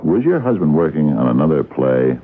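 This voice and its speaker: A wry radio drama cop, super deep and husky, voice swings around, muffled old broadcast. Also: male voice, big pitch swings, fairly quick. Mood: probing. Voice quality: gravelly. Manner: hesitant, probing, dry. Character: dogged, wry male police captain questioning suspects. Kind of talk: radio mystery drama acting.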